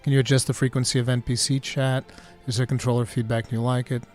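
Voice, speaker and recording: A man's voice: medium pitch, fast, faint music behind. A Portuguese man with an American-sounding English accent, chatting casually as a streamer and reading viewer questions. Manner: excitable, laughs often, says dude a lot.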